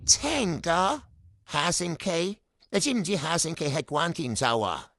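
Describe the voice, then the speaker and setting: A woman's voice: fairly low pitch, expressive, a little slow, vocal fry, a bit bright, dry recording, clean studio sound, full character voice. A woman playing a mean, emotional office boss in a radio drama, scolding and firing off accusatory questions. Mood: indignant, accusing. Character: stingy, emotional, guilt-tripping office boss. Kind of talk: Cantonese workplace radio drama acting.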